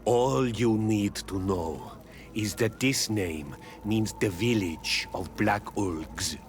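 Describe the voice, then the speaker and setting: An actor playing a proud, hostile island village chief, gruff and disdainful, in a video game. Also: male voice, fairly low pitch, over music. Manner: gruff, slow, deliberate, disdainful. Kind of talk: video game dialogue acting. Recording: clear ambient noise behind the voice.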